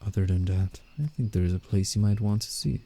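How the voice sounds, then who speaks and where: A man playing a guarded, teasing but soft-hearted werewolf in a scripted roleplay ASMR drama, speaking in a measured, reassuring way. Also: lowish voice, gravelly. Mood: playful, reassuring. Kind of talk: roleplay ASMR, scripted character acting with inner monologue.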